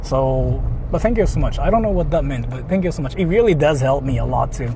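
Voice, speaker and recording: A man's voice: mid-range voice, animated, fairly quick, gravelly. A man with a Japanese accent, a native Japanese speaker, chatting casually and in a rambling, repetitive way as he livestreams from his car. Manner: rambling, repeats himself, crude joking. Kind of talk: casual livestream chat, reading donations. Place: inside a car while driving. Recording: noisy moving car interior.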